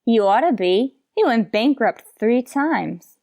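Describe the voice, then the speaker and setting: A woman's voice: a little high, expressive, slow pace, a little mellow, dry recording, studio quality, full character voice. A woman playing a skeptical, sassy tavern wisecracker in an old-time radio comedy.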